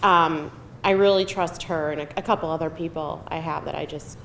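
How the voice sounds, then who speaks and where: A woman's voice: fairly even intonation, brisk, gravelly, a bit bright. A middle-aged woman screenwriter casually answering panel questions in a chatty, self-deprecating way. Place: live film festival event room. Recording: echoey room, audible noise and rumble.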